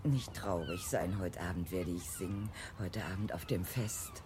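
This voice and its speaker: A woman's voice: rumbling low, lively intonation, normal speed, noisy background. An actress playing a restless, coquettish and world-weary woman in a theatrical radio drama, musing in repetitive, singsong phrases. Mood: flirtatious, teasing. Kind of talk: theatrical radio drama acting, part rhythmic monologue. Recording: music behind the voice.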